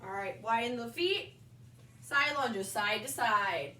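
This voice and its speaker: A woman's voice: a little high, sharp tone. A young woman with a slightly nasal voice from allergies, leading a balance exercise class and calling out moves while she demonstrates. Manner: upbeat instructing cues, brief pauses between moves. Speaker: fitness instructor. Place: large indoor wellness room with wood floor. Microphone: far camera on a tripod. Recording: roomy echo, voice from far off.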